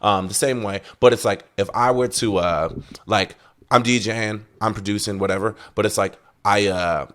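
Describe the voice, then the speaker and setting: Male podcast guest, low register, loose slangy delivery, circles back over phrases, curses freely. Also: fairly even intonation, normal speed, fairly bright tone. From Salt Lake City area. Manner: rambling, repetitive, slangy, swearing freely. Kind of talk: casual conversation.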